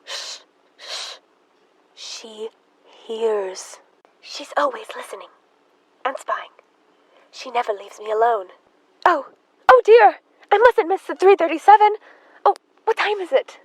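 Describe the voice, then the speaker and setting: A woman's voice: sits high, takes it slowly, pauses often, no echo, rough recording. A woman acting an anxious, easily distressed spinster sister in a radio drama, fluttery, pleading and defensive. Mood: nervous, flustered.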